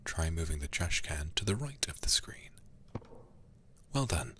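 A man livestreaming a game in an intimate ASMR style, hushed and often whispering close to the microphone. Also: low-pitched, very animated, unhurried, slight background noise. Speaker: livestream host playing a video game.

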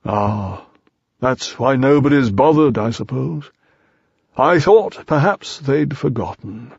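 A man's voice: medium pitch, expressive, slow, creaky, a little mellow, husky, no room sound, lo-fi sound, exaggerated voice. A man voicing a gloomy, self-pitying old grey donkey with a resigned, sardonic manner in a storybook.

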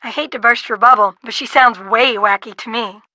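Blunt young friend, teasing and matter-of-fact; bright, crisp, dry read. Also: female voice, a little high, a little fast, no echo, rough recording. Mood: wary. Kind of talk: video game voice acting over a phone call.

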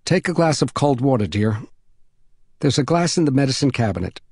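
A man voicing a calm, motherly housewife who patiently and gently reassures a child in an audiobook story. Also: mid-range voice, a little fast, husky, dry recording, clean studio sound. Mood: reassuring.

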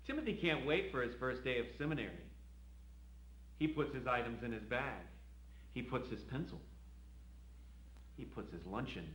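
A man's voice: mid-range voice, lively intonation, normal speed, pauses often, fairly bright tone, slightly rough, low-quality recording. An actor in his forties playing a creepy, gushing children's TV storytime host, talking to children with exaggerated sing-song enthusiasm. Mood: storytelling. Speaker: children's show presenter. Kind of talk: 1990s children's TV presenting.

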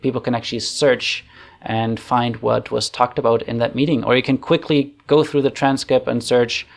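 A man in his thirties with a German accent, chatting casually through a screen-share software tutorial from a room at home into a desk microphone, thinking aloud with fillers. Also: medium pitch, little pitch movement, normal speed, vocal fry. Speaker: online facilitation trainer. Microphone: desk microphone on arm.

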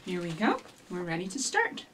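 A woman's voice: on the low side, wildly swooping pitch, normal speed, noisy background. A middle-aged woman presenting a storytime for young children, addressing them directly and encouragingly as she talks and reads aloud. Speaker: children's librarian, storytime host. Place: small indoor office with shelves. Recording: distant microphone in a room.

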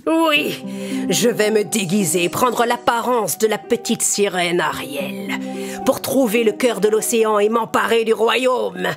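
A woman's voice: medium pitch, expressive, unhurried, gravelly, over music. A woman voicing a scheming sea witch in a cartoon, gloating and theatrical, with cackling laughs. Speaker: voice actress. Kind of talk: cartoon voice acting.

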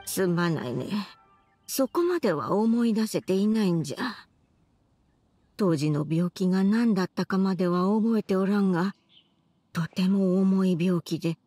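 Gentle, frail grandmother: husky breathy voice, apologetic elderly phrasing, roomy echo, game dub. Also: medium pitch. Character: frail, gentle old grandmother with failing memory. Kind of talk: video game dub acting.

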